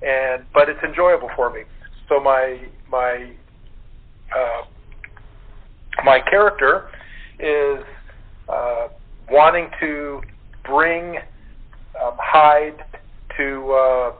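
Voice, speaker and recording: A man speaking as an actor guest in a casual interview, halting and searching for his words. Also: mid-range voice. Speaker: radio play actor as guest. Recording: tinny, narrow phone-line sound.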